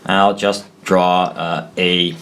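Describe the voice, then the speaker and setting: Low-voiced man explaining slowly, thinking aloud. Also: little pitch movement, fairly bright tone, slight background noise. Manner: halting, thinking aloud, self-correcting. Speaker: presenter of a hobbyist explainer video. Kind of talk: informal hand-drawn technical tutorial.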